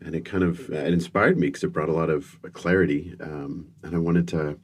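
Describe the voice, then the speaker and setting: A man's voice: very deep voice, fairly even intonation, brisk. A middle-aged man, born in the UK to American parents, telling self-deprecating stories as a guest in an interview. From born in the United Kingdom to American parents. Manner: self-deprecating, wry storytelling with frequent restarts. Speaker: guest speaker and comedian. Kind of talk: relaxed storytelling interview.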